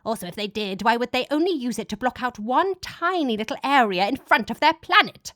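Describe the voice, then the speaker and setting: An angry demon commander, acted by a woman in an audiobook. The demon barks at underlings with baffled exasperation and a raised pitch. The sound is dry. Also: lively intonation, fairly quick, a bit bright, no room sound. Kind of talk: dramatic audiobook character voice.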